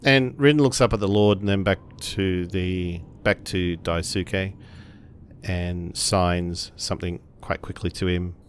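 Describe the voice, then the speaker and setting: Fairly low-voiced man playing a tabletop game. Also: fairly even intonation, slow, a little hiss or hum. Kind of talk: narrating characters' actions in casual game play.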